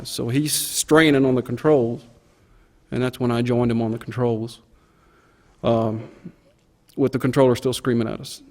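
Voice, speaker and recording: A man's voice: medium pitch, a little mellow. A middle-aged man, a pilot, recounting an experience informally in a plain-spoken, vivid way. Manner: plain-spoken, vivid, acts out quoted radio calls. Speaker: airline pilot giving a recollection. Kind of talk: informal spoken recollection of an event.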